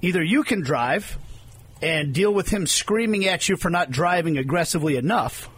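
A man's voice: high voice, animated, moderate pace, fairly bright tone, breathy edge. A man co-hosting a sports radio show, chatty and telling stories in casual banter. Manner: chatty, storytelling. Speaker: radio co-host.

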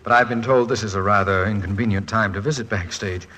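An actor playing a shy, bookish professor, formal and wordy, in 1940s radio style. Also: male voice, on the low side, steady tone, fast talker, pushed and bright, slight background noise. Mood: shy, earnest. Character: courteous, shy, bookish American male professor. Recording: vintage 1940s radio recording.

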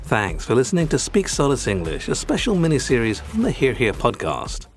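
Prim British man with lively, animated delivery. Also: mid-range voice, a little fast, soft background music. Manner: prim, formal, wordy. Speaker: podcast host. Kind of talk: scripted comic language lesson.

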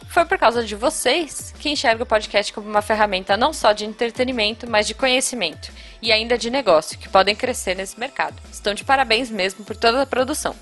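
A woman's voice: on the high side, fairly bright tone. A woman hosting a podcast, reading listener emails aloud with frequent laughter. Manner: laughing often, chatty, reads emails aloud. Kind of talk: casual chat.

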